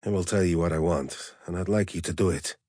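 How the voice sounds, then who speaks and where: A man's voice: low voice, a little mellow, dry recording. A man voicing a dry, suave English lover. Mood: cool, teasing. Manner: dry, measured, teasing. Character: suave male lover, polished English accent hiding Russian.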